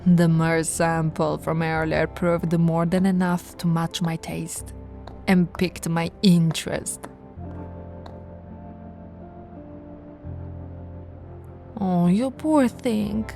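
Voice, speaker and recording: A low-toned, aristocratic female vampire, acted by a woman speaks slowly over music. She is haughty and seductive, savoring her words with predatory possessiveness in an intimate audio roleplay. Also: a little mellow. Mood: possessive, predatory. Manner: slow, sultry, theatrical, drawn-out words with breathy whispers.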